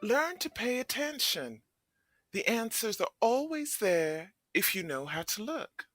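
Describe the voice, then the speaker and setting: A wise, reassuring grandmother played by a woman in an audio play. She speaks slowly and with an expressive rise and fall, measured and calm as she instructs. Faint music sits behind her. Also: a little high, pitched above the performer's own voice. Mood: calm, instructive.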